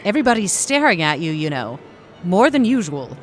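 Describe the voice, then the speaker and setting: A woman's voice: on the low side, big pitch swings, brisk. An actress playing a breezy, forward British woman with a teasing, confident manner in an audio drama. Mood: embarrassed, scolding.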